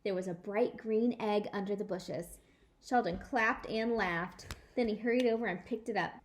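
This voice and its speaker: A woman storyteller reading a children's book aloud in an animated, emphatic way. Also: medium pitch, moderate pace, slight background noise. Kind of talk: expressive children's book read-aloud in a child narrator's words.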